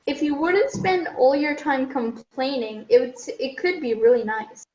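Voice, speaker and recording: Woman playing fed-up teenage girl camper, high voice, blunt, amateur radio play, muffled roomy recording. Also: animated, measured pace, a little mellow. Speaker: student radio play performer.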